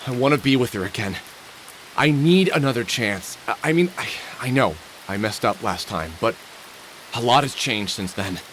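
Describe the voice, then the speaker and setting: Game lead: male actor as middle-aged loner, weary, wry, heartsick, lively intonation, noisy rainy backdrop. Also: mid-range voice, moderate pace, natural voice. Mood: pleading. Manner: wry, musing aloud to himself. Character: weary, wry middle-aged man haunted by lost love. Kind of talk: video game voice acting, inner monologue and dialogue.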